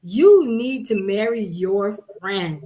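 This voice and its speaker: A woman from Haiti, raised in Miami, telling her story as a guest, earnest and steady. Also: medium pitch, slow pace. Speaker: guest, coach. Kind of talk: casual interview.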